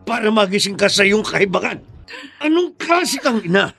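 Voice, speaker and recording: An actor playing an indignant, protective old grandfather in a radio drama, husky and emphatic. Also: high voice, very animated, normal speed, music in the background, full character voice. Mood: indignant, scolding. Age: older. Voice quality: gravelly, husky. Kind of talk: Tagalog radio drama acting.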